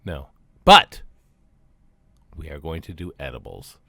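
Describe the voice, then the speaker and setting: A man's voice: rumbling low, a little slow, gravelly. Guy cohosting a podcast, voice jumps all over, joking around and laughing. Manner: laughing, teasing, animated banter. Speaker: podcast co-host. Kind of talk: casual chat.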